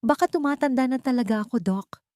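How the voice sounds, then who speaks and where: A woman's voice: sits high, fast talker, darkish tone, dry recording, studio quality, pitched above the performer's own voice, natural voice. A woman with a Filipino accent voicing a rich, tender older lady overwhelmed by emotion in an audio drama. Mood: joking.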